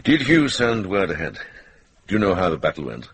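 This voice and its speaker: An actor playing a kindly, shrewd older Welsh monk in a radio drama, with a gentle, musing manner and a gravelly voice. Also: male voice, low voice, very animated, brisk, a little hiss or hum. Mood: fond, musing.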